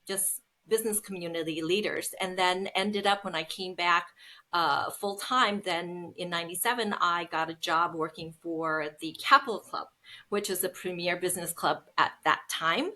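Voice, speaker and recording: A woman's voice: fairly low pitch, normal speed, pushed and bright. A woman, telling her story as an interview guest with many ums. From Seattle, United States. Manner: chatty, storytelling, frequent ums. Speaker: guest, business founder. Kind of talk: casual interview conversation.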